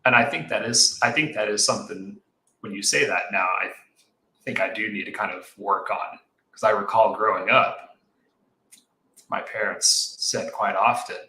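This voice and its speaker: A man in his thirties with a very low, sharply bright voice talks things through as a guest. He is measured and reflective and often restarts his sentences. His voice rings in an echoey room. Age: 30s. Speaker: podcast guest. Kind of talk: casual conversation.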